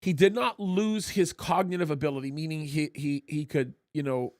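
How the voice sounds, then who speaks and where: High-voiced man hosting, emphatic. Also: fairly even intonation, moderate pace, darkish tone, no room sound. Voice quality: high-pitched voice. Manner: emphatic, repeats words when starting a point. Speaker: pastor and show host. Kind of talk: live political talk show discussion.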